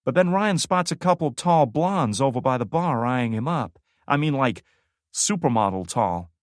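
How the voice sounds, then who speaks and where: A man's voice: mid-range voice, lively intonation, moderate pace, no room sound, studio quality, pitched above the performer's own voice, natural voice. A man voicing a brash, foul-mouthed young woman soldier who tells a story with boisterous, swearing glee. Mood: gleeful telling.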